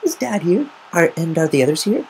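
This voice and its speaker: An earnest, motherly young female unicorn from a story, acted out by a man. The voice is pushed up in pitch and speaks gently, explaining with care. The homemade recording has a little noise. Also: very animated, brisk. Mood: concerned, eager. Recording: noisy amateur home recording.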